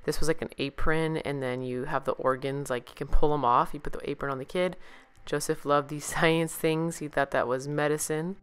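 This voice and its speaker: Female vlogger, low smooth voice, casual and enthusiastic haul narration. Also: fairly even intonation, moderate pace, few pauses. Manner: informal, enthusiastic. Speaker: vlogger, parent. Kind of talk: casual chatty shopping haul voice-over.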